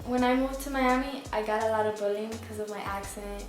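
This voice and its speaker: A young woman from Spain answers interview questions in a soft, laid-back way, dropping filler words as she goes. Her voice sits fairly high. The room rings with strong echo, and faint music plays underneath. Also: fairly even intonation, fairly quick. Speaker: singer, interview guest. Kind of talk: casual interview chat.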